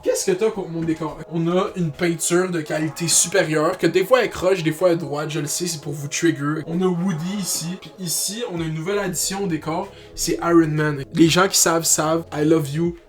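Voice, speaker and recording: Young male vlogger, Québécois accent, voice a bit high, loose slangy delivery with English borrowings, light music underneath. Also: fairly even intonation, fairly quick, few pauses. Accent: Québécois French. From Quebec, Canada. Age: young adult. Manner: informal, slangy, mixes in English words. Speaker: YouTuber. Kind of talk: casual Q&A vlog to camera.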